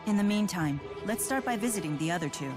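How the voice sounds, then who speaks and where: A woman's voice: mid-range voice, lively intonation, fast talker, close to an everyday voice. A woman voicing a composed, curious young spirit woman with formal phrasing in a video game cutscene. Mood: composed, matter-of-fact. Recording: music under the voice.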